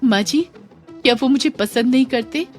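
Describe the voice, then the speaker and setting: A woman's voice: high-pitched, normal speed, soft background music, voice pushed up, close to an everyday voice. A woman voicing a meek, devoted young blind bride in a dramatized moral story, speaking with deferential pleading. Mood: anxious, pleading.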